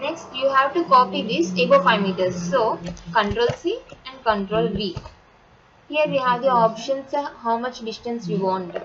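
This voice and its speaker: A woman with an Indian accent speaks in a fairly high voice through a dull, lo-fi recording with loud noise behind her. She teaches software step by step, unscripted, posing short questions and then answering them herself. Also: lively intonation, moderate pace. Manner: instructional, asks and answers own questions. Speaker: software tutorial instructor. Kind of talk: step-by-step screen tutorial, unscripted.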